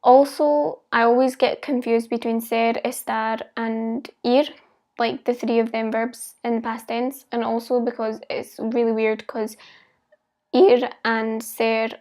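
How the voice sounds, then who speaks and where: A young woman with a light Scottish accent talks casually to her viewers. Her voice sits fairly high and moves very little in pitch. She sounds like a language vlogger chatting informally. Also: flat delivery, a little slow, dark tone. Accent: mild Scottish. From Scotland. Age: young adult.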